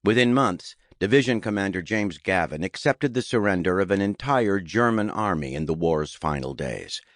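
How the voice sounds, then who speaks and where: Low-voiced man narrating an audiobook. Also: lively intonation, moderate pace, dry recording, studio quality. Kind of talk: scripted nonfiction narration. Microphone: close studio microphone.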